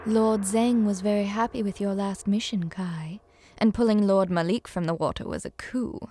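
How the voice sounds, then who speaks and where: An actress playing a poised, grave noblewoman who leads a cause in a video game, speaking in a measured, formal way. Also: medium pitch, fairly even intonation, dark tone, slight background noise, lightly acted. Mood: composed, commanding. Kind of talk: video game cutscene acting.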